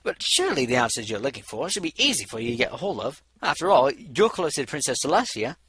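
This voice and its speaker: A man's voice: mid-range voice, sing-song, fast, fairly bright tone, slightly rough, no echo, exaggerated voice. A man voicing an aloof, erudite male pony doctor in a storybook, formal and wordy. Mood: intrigued.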